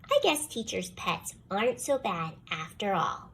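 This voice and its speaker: A woman voicing a young schoolgirl telling a first-person storybook tale with expressive, put-upon delivery. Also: mid-range voice, a little slow, husky, exaggerated voice. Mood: softened, warm. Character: jealous young schoolgirl, grudgingly softening toward the dog. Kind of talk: scripted children's storybook read-aloud.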